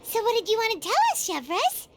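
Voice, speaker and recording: A woman voicing a small, childlike fairy companion in a video game, squeaky, curious and full of exclamations and questions. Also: big pitch swings, brisk, background noise, heavily acted. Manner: exclamatory, inquisitive. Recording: music behind the voice.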